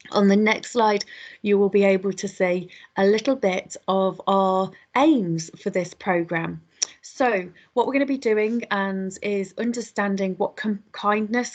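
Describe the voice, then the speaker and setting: A woman with a slightly croaky voice, hosting an online webinar and welcoming attendees in a chatty way, with lots of ums and quick self-corrections. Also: medium pitch. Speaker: webinar host. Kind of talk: welcoming an online audience, housekeeping.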